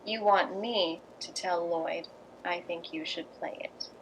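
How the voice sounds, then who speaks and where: A woman's voice: fairly low pitch, steady tone, slow pace, slight background noise, poor audio. A woman playing a loyal, uneasy, genteel playwright's wife in a radio drama. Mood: guarded, dismayed. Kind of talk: recreated 1950s radio drama acting.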